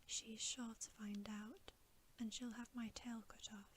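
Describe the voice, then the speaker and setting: A woman whispering the part of a timid, remorseful male faun in a storybook, hushed and politely old-fashioned. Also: on the high side, level tone, a little slow, a little hiss or hum, natural voice. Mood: tearful, fearful. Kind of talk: whispered ASMR storybook reading.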